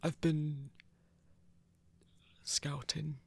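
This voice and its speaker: Casting: actor for awkward, protective hunter, ASMR romance. Slow, stammering delivery, husky breathy tone, bone-dry close sound. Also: medium pitch, flat delivery, dry recording, exaggerated voice. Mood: flustered, evasive. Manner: halting, stammering, awkward, sighing. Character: flustered, protective werewolf hunter in love. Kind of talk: intimate ASMR roleplay acting.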